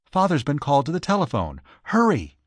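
A man's voice: mid-range voice, sing-song, moderate pace, no echo. A man voicing an eager, urgent young married woman who coaxes earnestly in an audiobook. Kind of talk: audiobook character voice.

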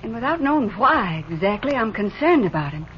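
Lively, polite western lady. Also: a little high, brisk. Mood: concerned. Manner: polite, earnest. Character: polite, concerned young lady traveller in the Old West. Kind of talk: 1950s radio western acting. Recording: muffled, hissy 1950s radio recording.

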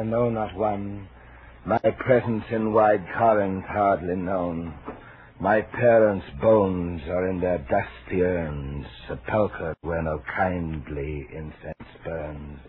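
Low-voiced obsessive admirer, old radio. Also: male voice, fairly even intonation. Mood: reciting, mournful. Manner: halting, repetitive, theatrical, intense. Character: obsessive lonely menacing male admirer with a knife. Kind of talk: 1940s radio thriller acting. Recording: scratchy, narrow-band 1940s radio recording.

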